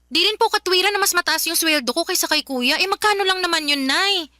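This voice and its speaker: Young filipina daughter in radio drama, high bright voice, tired but respectful, pleading her side. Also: brisk, dry recording, clean studio sound. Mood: aggrieved protest. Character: weary, aggrieved, polite young working daughter.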